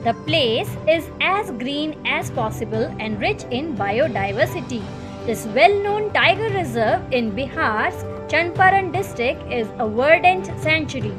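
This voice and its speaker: Woman as puppet show guide, high-pitched, Indian accent, animated intonation, scripted educational narration, music underneath. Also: unhurried. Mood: eager, informative. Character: puppet guide named Arya presenting Bihar. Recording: music behind the voice.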